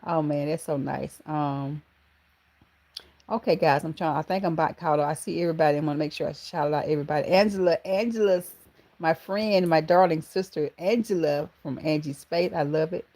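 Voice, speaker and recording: A woman's voice: low-pitched, brisk. A woman from Georgia with a Southern accent, hosting a casual livestream and greeting viewers by name, calling them baby and honey. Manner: affectionate, calls viewers baby and honey, animated exclamations. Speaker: livestream host.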